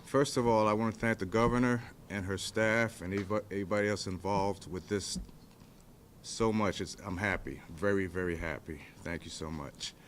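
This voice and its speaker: A man's voice: fairly low pitch, fairly even intonation, normal speed, noisy background. A man in his fifties, born in Jamaica, giving short, plainspoken, heartfelt remarks at a press event after receiving a pardon. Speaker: pardon recipient.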